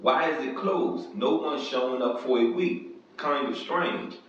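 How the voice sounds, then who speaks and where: A man's voice: medium pitch, measured pace, fairly bright tone, slight background noise, lightly acted. A man reading the lines of a curious young prince investigator, in a plain, slightly lifted voice. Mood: questioning. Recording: distant dull echoey room recording.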